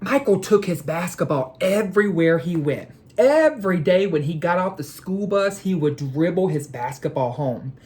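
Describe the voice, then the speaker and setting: A woman educator presenting and reading a children's storybook aloud in a deliberate, measured way. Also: on the low side, expressive, darkish tone. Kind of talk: storybook read-aloud with introduction.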